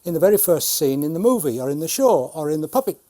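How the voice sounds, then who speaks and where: A man's voice: on the high side, animated, fast talker, mellow. A man in his seventies, a retired puppeteer, giving an explanatory talk about his craft. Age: 70s. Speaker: retired Punch and Judy puppeteer.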